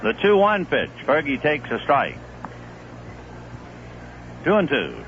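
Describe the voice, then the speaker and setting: A man calling a baseball game on the radio, chatting between pitches and reeling off statistics. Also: slow pace. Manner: lively, conversational, reeling off statistics. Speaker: play-by-play announcer. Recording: muffled 1970s radio broadcast with hiss.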